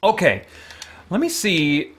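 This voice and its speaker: A man hosting a livestream in a casual, rambling, thoughtful way, often pausing mid-sentence. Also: sits high, wildly swooping pitch, fairly bright tone, slightly rough. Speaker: livestream host.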